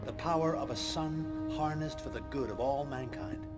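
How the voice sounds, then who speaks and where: An actor playing a middle-aged, idealistic visionary scientist with a grand manner in a video game. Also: male voice, medium pitch, moderate pace, natural voice. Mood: awed, proud. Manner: grand, awed. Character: visionary, idealistic male scientist absorbed in his invention. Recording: loud music underneath.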